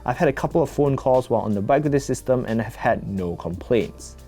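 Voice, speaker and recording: A man's voice: mid-range voice, expressive, fairly quick, mellow, soft background music. A man narrating a scripted product review as the host, in a conversational, lightly wry manner.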